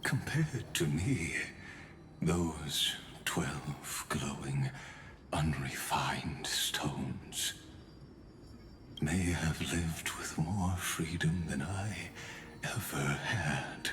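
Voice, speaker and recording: An actor playing an ancient, weary mechanical sculptor in a video game, speaking in a measured, theatrical, philosophical way with cool menace. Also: male voice, rumbling low, lively intonation, fairly bright tone, slight background noise, full character voice. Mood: bitter, resigned. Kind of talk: scripted video game drama acting. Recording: music under the voice.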